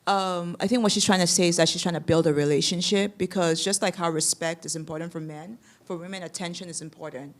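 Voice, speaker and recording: Earnest halting man from Cameroon. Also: on the high side, steady tone. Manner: earnest, halting, restarts often. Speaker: church congregant. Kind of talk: earnest church discussion.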